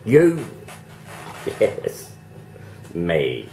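A middle-aged Australian man vlogs from home and yard with a hoarse, cold-worn voice. He speaks slowly in a lowish register and keeps chuckling between remarks. The camera mic picks up faint outdoor noise. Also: huge pitch swings, warm tone. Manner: chatty, laughs often between remarks. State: hoarse, losing his voice. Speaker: vlogger. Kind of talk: casual home vlog to camera. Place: home, indoors and in a backyard.

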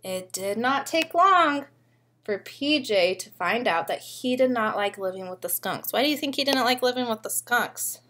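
A young woman reading a picture book aloud to small children like a preschool teacher, expressively and often pausing to ask them questions. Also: on the high side, animated, sharp tone. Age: young adult. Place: living room at home.

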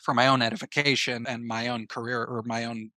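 A man's voice: medium pitch, level tone, fairly quick, bright, forceful tone, no room sound. A man speaking casually as an interview guest, sharing advice and experience in a conversational way. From American Southeast. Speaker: podcast guest, writer and filmmaker.

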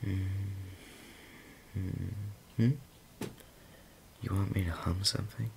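A man's voice: deep voice, steady tone, slow pace, vocal fry, noisy background, heavily acted. A man playing a tender, whispering daemon boyfriend. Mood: soothing. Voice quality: soft, often whispered. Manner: stammering, affectionate. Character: tender daemon boyfriend, male, young adult. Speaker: voice actor. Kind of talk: ASMR roleplay.

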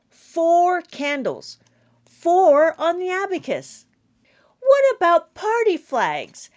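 A middle-aged woman reading a children's counting book aloud as a teacher, animated and sing-song, drawing out words for young children. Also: sits high. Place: small indoor room at home.

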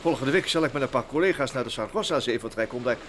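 An actor playing a fussy, hesitant professor and inventor in an old radio play. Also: male voice, medium pitch, lively intonation, very fast, fairly bright tone, close to an everyday voice. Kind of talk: vintage Dutch radio play acting. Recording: old radio recording with noise.

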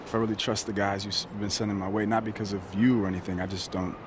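An actor playing a reflective, easygoing American basketball player in a naturalistic, low-key video game performance. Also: male voice, little pitch movement, fast, noisy background, close to an everyday voice. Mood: wary, casual. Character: professional basketball star, reflective, easygoing, close to his family.

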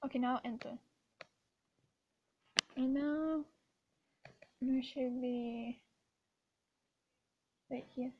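A woman's voice: high-pitched, steady tone, very slow, pauses often. A teenage girl chatting casually with her friend in a school hallway, bossy and giggly, sometimes dropping to a whisper, recorded on a close laptop webcam. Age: teens. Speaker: vlogger. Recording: dull lo-fi webcam audio with clear background noise.

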